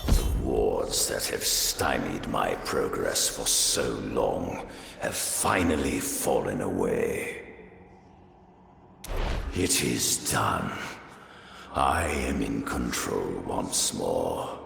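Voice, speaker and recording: A man voicing an ancient, sly undead curator in a fantasy game, with a deep gravelly voice and a formal, theatrical way of savoring words. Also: very animated, extremely slow, a bit bright, a little hiss or hum, exaggerated voice. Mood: triumphant. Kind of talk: fantasy game character acting. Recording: echoey hall reverb, faint music behind.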